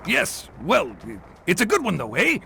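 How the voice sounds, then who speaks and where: A man voicing a crusty, hearty old crab sea captain in a cartoon game, gravelly and salty. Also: high-pitched, wildly swooping pitch, measured pace, bright, forceful tone, slight background noise, heavily acted. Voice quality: gravelly, growling. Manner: salty seafaring bluster. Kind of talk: cartoon game character acting.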